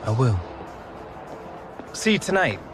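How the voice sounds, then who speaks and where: A man's voice: mid-range voice, sing-song, takes it slowly, music in the background. An actor playing a young male star footballer with a British accent, cheeky yet unsure, in naturalistic game cutscene dialogue. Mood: cheeky, excited. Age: young adult.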